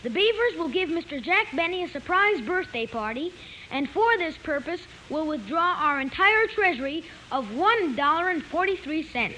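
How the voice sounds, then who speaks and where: A woman's voice: very high-pitched, animated, moderate pace, pushed and bright, heavily acted. A woman playing a bossy, self-important young boy who runs a club, in 1950s radio comedy style. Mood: officious, pompous. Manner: officious, pompous. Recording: noisy clicking old 1950s radio recording.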